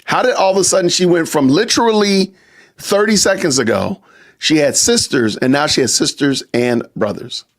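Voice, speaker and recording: A man's voice: on the high side, normal speed. A man hosting a live stream podcast, talking casually to his chat and repeating rhetorical questions. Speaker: podcast host.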